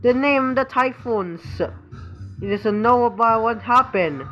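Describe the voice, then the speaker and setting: A woman talking casually in halting fragments as she improvises a story roleplay. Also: a little high, lo-fi sound, faint music behind. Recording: loud vehicle and ambient noise.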